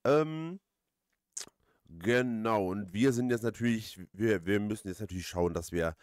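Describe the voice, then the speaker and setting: A man hosts a video game playthrough in a fairly low voice. He comments casually, circling back over phrases with hesitant rambling. The sound is dry, without room echo. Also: a little slow, darkish tone. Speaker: let's play host.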